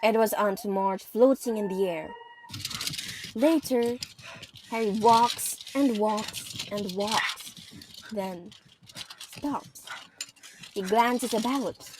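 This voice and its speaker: Slow, theatrical woman narrator. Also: medium pitch, background noise. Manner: theatrical, suspenseful, with pauses for effect. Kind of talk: dramatic scripted narration of an audio play. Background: music.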